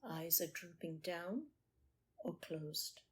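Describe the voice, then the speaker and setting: A woman leads a guided meditation at a very slow pace. Her voice sits a little low and has a husky, breathy grain. She gives each instruction in short, measured phrases, leaving space after them. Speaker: meditation teacher.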